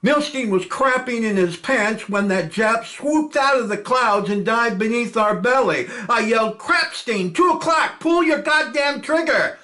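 A man's voice: high voice, a little slow, hardly any pauses, voice pushed up. A man reading a play aloud, voicing a brash, boastful young male airman who bullies and taunts. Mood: gloating. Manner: loud, boastful, laughing.

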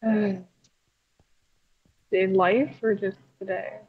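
A woman's voice: medium pitch, little pitch movement, slow pace, husky. Good puppet animatronic for game roleplay female player: dry, reserved, terse and unimpressed, on a slightly dull mic. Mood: flat, patient.

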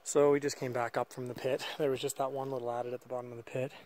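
A man's voice: mid-range voice, flat delivery, quick pace, darkish tone, a little hiss or hum. A man filming and casually narrating a walk through an old mine site outdoors, thinking aloud with fillers on a camera mic. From Canada. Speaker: mine explorer filming a walkthrough.

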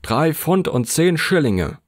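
A man's voice: on the low side, moderate pace, a little mellow, no room sound, studio quality, pitched above the performer's own voice, lightly acted. A man voicing a stern parish official who will not budge on a price in an audiobook. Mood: firm. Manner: curt, firm.

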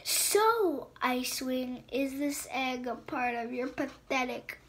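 A woman's voice: sits high, expressive, slow. A woman voicing a scheming, venomous female sand dragon queen who hisses and mocks, reading a storybook aloud. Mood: taunting. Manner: menacing, mocking, hissing taunts. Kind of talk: storybook read-aloud voice acting.

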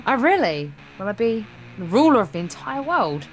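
A woman playing an excitable, ambitious schoolgirl in a visual novel, gushing and earnest. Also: on the high side, moderate pace, soft background music. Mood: eager, excited. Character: excitable, ambitious schoolgirl dreaming of world rule.

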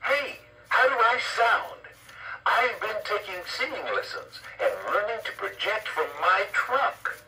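Bright, high-voiced talking Christmas tree. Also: male voice, animated, unhurried, far from the mic, exaggerated voice. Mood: playful, boastful. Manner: theatrical, punning, playfully vain. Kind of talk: scripted toy character performance, recitation, jokes and crooning. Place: played from a toy's speaker indoors. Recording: noisy cassette playback heard across a room.

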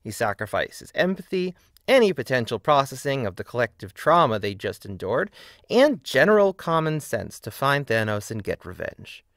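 A man's voice: medium pitch, sing-song, moderate pace, fairly bright tone, no room sound. Man narrating a video essay, very dry sound. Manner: opinionated, emphatic, wry. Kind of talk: scripted video-essay narration with casual asides.

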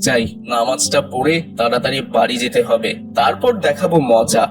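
A man's voice: medium pitch, normal speed, over music, natural voice, low-quality recording. A man playing a stern, indignant, pious middle-aged father with a dramatic scolding manner in a moral cartoon. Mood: dismayed, indignant.

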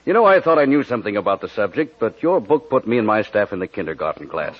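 An actor playing a genial, shrewd police inspector, hearty and flattering, in old-time radio drama style. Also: male voice, on the low side, fast talker. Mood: affable, probing. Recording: dull old radio recording.

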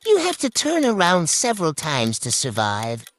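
A woman's voice: fairly low pitch, wildly swooping pitch, normal speed, noisy background. A woman reading short scripted riddle clues for a quiz. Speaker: quiz clue reader.

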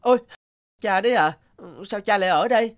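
An actor voicing a lazy, complaining young peasant son in an animated folk tale, with a whiny, grumbling manner. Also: mid-range voice, a little fast, natural voice. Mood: puzzled, relieved. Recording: loud music under the voice.

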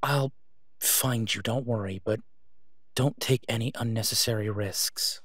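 A man's voice: lowish voice, a little slow, fairly bright tone, dry recording, studio quality. A man playing a protective, exasperated young vigilante hero in an audio roleplay, speaking earnestly and urgently. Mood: worried, urging. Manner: earnest, urging.

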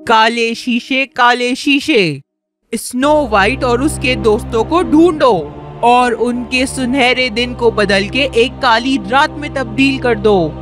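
A woman's voice: a little high, lightly acted. A woman voicing a cruel, gloating witch queen with a commanding, menacing manner in a children's fairy-tale cartoon. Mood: commanding incantation. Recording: music under the voice.

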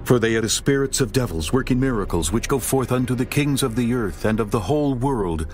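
A man narrating scripture in a slow, solemn, stately reading over music. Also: medium pitch, expressive, darkish tone.

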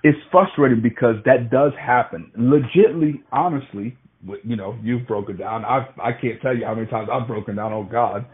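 Mid-pitched man on a tinny phone line. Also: normal speed, few pauses. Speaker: freight broker, former truck driver. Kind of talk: casual phone conversation. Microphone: phone call. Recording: tinny band-limited phone call.

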